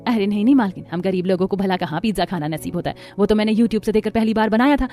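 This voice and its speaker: A woman voicing a poor, gentle village mother in a cartoon story, explaining things humbly. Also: mid-range voice, quick pace, faint music behind, lightly acted. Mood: humble. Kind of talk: Hindi cartoon story voice acting.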